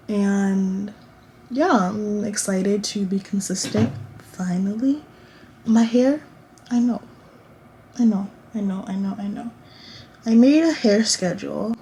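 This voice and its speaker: A woman's voice: mid-range voice, dark tone, a little hiss or hum, poor audio. A woman in her late teens chatting casually to camera in a college dorm room, rambling with lots of restarts and fillers. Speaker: vlogger.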